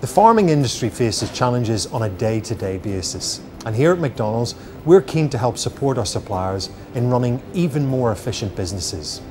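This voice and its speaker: A man's voice: mid-range voice, expressive, moderate pace, few pauses, darkish tone, faint music behind. A man speaking as a company spokesman in a polished, formal interview. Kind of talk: corporate interview.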